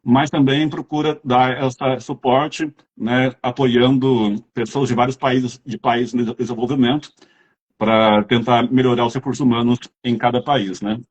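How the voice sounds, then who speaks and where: A man's voice: mid-range voice, fairly even intonation, measured pace, highs cut off. A man with a Brazilian accent, chatting casually as an interviewer and telling rambling anecdotes. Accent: Brazilian Portuguese.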